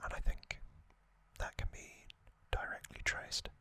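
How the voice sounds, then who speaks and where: An ASMR host of unclear sex whispering an explanatory recap in short, halting phrases, fully hushed. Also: dry recording.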